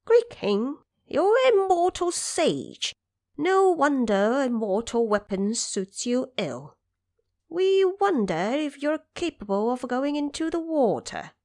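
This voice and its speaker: A woman's voice: sits high, sing-song, takes it slowly, a little mellow, pitched above the performer's own voice, full character voice. A woman voicing deferential old male monkey advisers, respectful and coaxing, in an audio drama. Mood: flattering.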